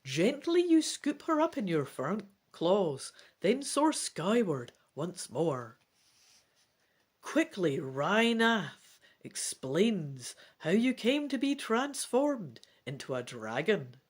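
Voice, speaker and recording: A woman reading an adventure gamebook aloud in a theatrical, deliberate style. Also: medium pitch, very animated, slightly rough. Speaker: gamebook reader and player. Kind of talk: dramatic storybook narration.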